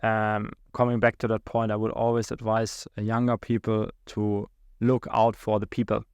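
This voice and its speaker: Young German-accented man, podcast guest; gravelly, monotone, halting and filler-heavy. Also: on the low side, dry recording. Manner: halting, many restarts and fillers, laughs between thoughts. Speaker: guest, account executive. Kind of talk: podcast interview.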